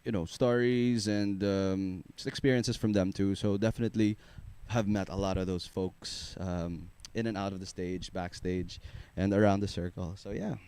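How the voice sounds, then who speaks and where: A young man from the Philippines with a low baritone voice, chatting in a relaxed, rambling way as a singer-songwriter guest in a radio studio. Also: normal speed, clear, resonant tone. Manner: relaxed, rambling, filler-heavy, laughs easily. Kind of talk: casual radio interview chat.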